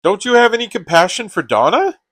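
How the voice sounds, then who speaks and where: A man's voice: high-pitched, very animated, fairly quick, a bit bright, dry recording, full character voice. An actor playing a sensible, exasperated young man who complains at his friend in a comedy radio play. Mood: reproachful. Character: exasperated, sensible young male best friend named Stu.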